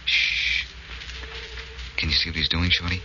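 An actor playing a shrewd, confident sleuth with a clipped, wry, assured manner in a 1940s radio detective drama. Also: male voice, low voice, level tone, unhurried. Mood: hushed, wry. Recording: dull, noisy old radio recording.

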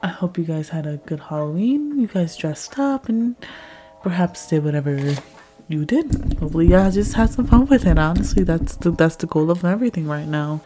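A young woman with a raspy, slightly hoarse voice from a cold, chatting casually to her listeners as a podcast host, rambling with lots of fillers. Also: on the low side, animated, moderate pace, mellow, soft background music.

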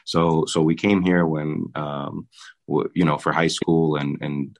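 Very deep-voiced young man, halting. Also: flat delivery, gravelly. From Dominican Republic. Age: young adult. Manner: halting, with many restarts and repeated words. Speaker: mayor and city commissioner. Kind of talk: casual interview answers.

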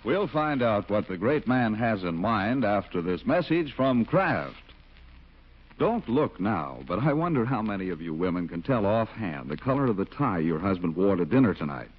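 Man, radio announcer, smooth persuasive commercial, slightly low, husky, muffled old broadcast. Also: very animated, moderate pace. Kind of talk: scripted sponsor commercial.

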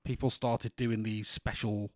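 A man from in England co-hosting a podcast in casual chat, with a monotone voice and a rambling way of talking full of restarts. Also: on the low side, a little slow, no echo, clean studio sound. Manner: rambling, many restarts and fillers. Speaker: podcast co-host.

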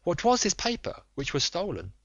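A man's voice: high-pitched, huge pitch swings, moderate pace, gravelly, a bit bright, no room sound, voice pushed up, full character voice. A man voicing an anxious noble Victorian lady who pleads in formal, earnest speech. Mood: pleading. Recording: dull lo-fi dry recording.

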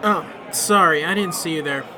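A man's voice: fairly high pitch, normal speed, a crowd in the background, close to an everyday voice, rough recording. A man playing a weary small-town police chief with a dry manner.

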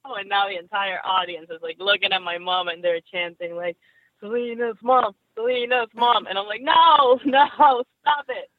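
A woman's voice: medium pitch, moderate pace, no room sound. A woman wrestler telling stories in a casual interview, laughing often. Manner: laughing, animated storyteller. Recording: tinny phone line.

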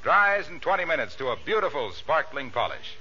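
A man's voice: on the high side, big pitch swings, fairly quick, pushed and bright, husky, faint music behind. A man with an American accent announcing in polished, salesmanlike 1940s radio style. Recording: dull, noisy vintage radio recording.

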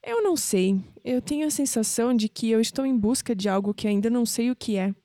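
A woman's voice: fairly high pitch, lively intonation, a little fast, mellow, no echo, studio quality, lightly acted. An actress playing a young, skeptical and searching Brazilian woman in an audio drama. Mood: uneasy, searching.

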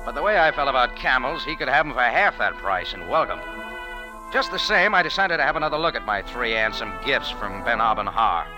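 Tough American adventurer-narrator, played by a man: medium pitch, animated delivery, world-weary wit, 1940s radio drama with music underneath. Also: a little fast, natural voice, low-quality recording. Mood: wry narration. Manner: wry, clipped, world-weary. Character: wry, tough American bar owner and adventurer. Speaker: lead actor and narrator.

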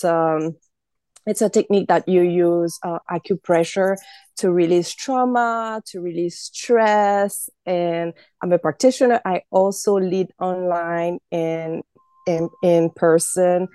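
A woman speaks as an interview guest, her voice low and mellow. She explains herself earnestly and steadily, with a few small restarts. The sound is close and dry. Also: clean tone, no room sound. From Haiti. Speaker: guest herbalist. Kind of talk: interview self-introduction.

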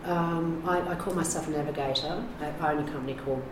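Middle-aged New woman, very deep voice, frank and rambling, echoey room with noise. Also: fairly even intonation, fast. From New Zealand. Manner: frank, rambling, many fillers and restarts. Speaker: addiction recovery navigator. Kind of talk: candid interview talk.

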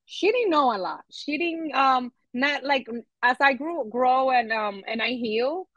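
Woman with a New York accent, slightly high voice. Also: unhurried, no echo, dull audio. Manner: reflective, relaxed, frequent filler words. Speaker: podcast guest, activist. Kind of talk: casual conversational interview.

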